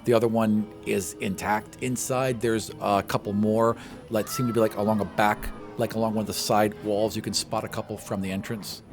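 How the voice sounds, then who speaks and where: A man's voice: lowish voice, little pitch movement, moderate pace. Man narrating a game over music. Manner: descriptive, casually explanatory. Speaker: game master. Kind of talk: narrating a tabletop game, conversational.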